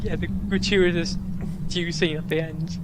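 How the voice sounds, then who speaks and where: A man's voice: a little high, steady tone, normal speed, background noise. A jokey, sweary British man giving casual co-commentary on a video game. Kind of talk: casual gameplay commentary chat.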